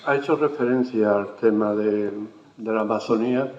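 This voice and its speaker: A man's voice: on the low side, moderate pace, heavy background noise. A man moderating a round-table talk, firm and emphatic. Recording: echoey hall, dull sound.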